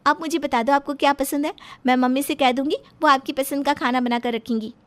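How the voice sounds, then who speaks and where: A woman's voice: high-pitched, steady tone, brisk, a bit bright, pitched above the performer's own voice. A woman voicing a cheerful, chatty young newlywed wife in a cartoon story, bubbly and eager. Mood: excited, cheerful. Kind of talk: animated family story dialogue.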